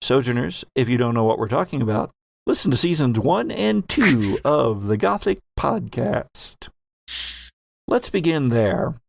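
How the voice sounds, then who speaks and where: A man speaks as a podcast game master, unhurried and a little gravelly in a dry, close recording. His phrasing is theatrical when he narrates and conversational when he addresses the players. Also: medium pitch, very animated, dry recording. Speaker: host and game master of an actual-play podcast. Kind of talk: narrating a tabletop game with casual banter.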